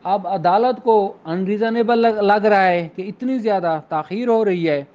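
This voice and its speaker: A man giving an explanatory lecture in a didactic way, mixing in slowly and deliberately read English phrases. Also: sits high, darkish tone, lo-fi sound. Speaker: law lecturer. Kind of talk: explanatory law lecture with examples, code-switching into English.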